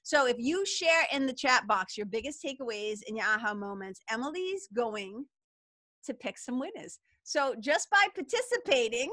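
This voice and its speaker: A woman's voice: on the high side, animated, moderate pace, sharp tone, dry recording. Bubbly Boston woman hosting a webinar. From Massachusetts. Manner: bubbly, chatty, enthusiastic. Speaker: webinar co-host. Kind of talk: live webinar, greeting viewers in the chat.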